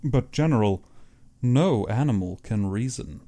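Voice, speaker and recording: A man's voice: on the low side, expressive, a little slow, dark tone. A man voicing an earnest, civilized younger hunter in an audiobook, reserved and plain-spoken. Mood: appalled. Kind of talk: audiobook character acting.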